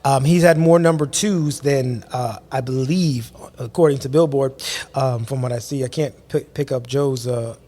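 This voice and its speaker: A man's voice: mid-range voice, moderate pace, mellow. A man from Queens, hosting a casual podcast debate, joking and laughing between fillers. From Queens, New York. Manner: jokey, laughs, uses fillers while citing facts. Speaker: podcast host.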